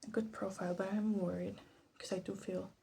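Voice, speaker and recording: A woman from Latin America gives soft-spoken ASMR commentary, often slipping into a whisper, in a hesitant, musing, self-deprecating way. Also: medium pitch, flat delivery, fairly quick, creaky. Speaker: ASMR video host. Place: bedroom. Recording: faint background noise, clicking sounds.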